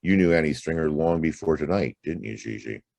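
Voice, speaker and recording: An actor playing a world-weary hard-boiled private detective in a noir radio drama, laconic and wry, with a gravelly voice. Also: male voice, very deep voice, animated, a little fast, a little mellow, dry recording, heavily acted. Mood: accusing, probing. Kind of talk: noir radio drama acting and first-person narration.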